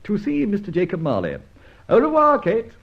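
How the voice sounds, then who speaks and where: An actor playing a witty, roguish gentleman vigilante in an old-time radio detective drama, with a theatrical, urbane, teasing manner. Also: high-pitched, huge pitch swings, moderate pace, gravelly, exaggerated voice. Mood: breezy. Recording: muffled, noisy old radio recording.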